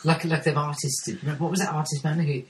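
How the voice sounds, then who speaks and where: A man's voice: a little high, steady tone, fast talker. A man co-hosting a comedy podcast, rambling and restarting his sentences. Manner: rambling, hesitant, many restarts. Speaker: podcast co-host. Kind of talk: casual comedy chat.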